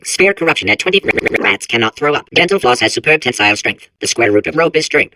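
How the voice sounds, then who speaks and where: Fast, bright robot fact-spouter. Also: male voice, medium pitch, no room sound, low-quality recording. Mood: glitchy, insistent. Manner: emphatic, crisply didactic, authoritative announcer delivery. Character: malfunctioning robot core reciting facts, smugly certain and eager. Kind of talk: rapid-fire fact recital, video game character.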